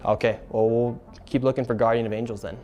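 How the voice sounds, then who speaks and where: A young man walking city streets on camera, reading out names and figures in a deadpan way. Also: medium pitch, fast, lo-fi sound, soft background music. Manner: deadpan, reads figures aloud. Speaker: on-camera investigator. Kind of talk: walking documentary narration.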